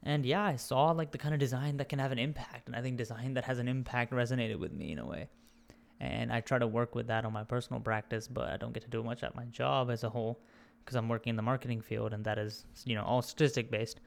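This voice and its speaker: A young man from India whose accent blends British, Australian and American sounds. He talks as a podcast guest in a candid, reflective way, often stopping to find the right words. His pitch sits in the middle for a man. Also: fairly even intonation, fast talker, gravelly. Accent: mixed British, Australian and American. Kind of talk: casual conversational interview.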